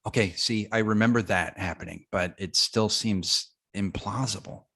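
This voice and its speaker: A man playing a flustered, defensive young werewolf, halting and stammering, in a comedy script reading. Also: lowish voice, a bit bright. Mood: sheepish, confused. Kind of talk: comedic script table read.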